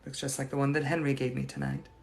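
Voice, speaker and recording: A man's voice: mid-range voice, monotone, quick pace, vocal fry, soft background music, natural voice. A man voicing the ghost of a young lady from the 1880s, speaking in a formal, old-fashioned and gracious manner. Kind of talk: improvised live roleplay answering viewers.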